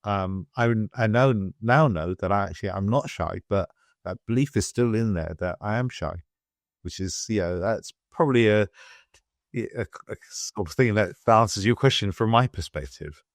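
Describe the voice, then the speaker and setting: A middle-aged British man with a very low, deep voice hosts a podcast conversation. He speaks softly and hesitantly, often restarting his sentences. The sound is dry and close to the mic. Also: moderate pace, no echo. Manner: soft-spoken, hesitant, many fillers and restarts. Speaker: podcast host. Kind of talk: casual interview chat.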